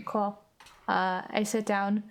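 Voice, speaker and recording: A woman's voice: mid-range voice, level tone, slow pace, smooth. A woman on an actual play podcast, narrating improvised roleplay in a casual, hesitant way with lots of "like".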